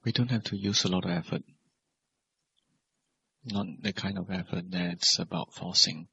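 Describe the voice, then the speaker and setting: A man teaching guided meditation as a Buddhist monk, speaking in measured, simple phrases and gentle questions. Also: sits low, steady tone, gravelly, slight background noise. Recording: dull, lo-fi recording.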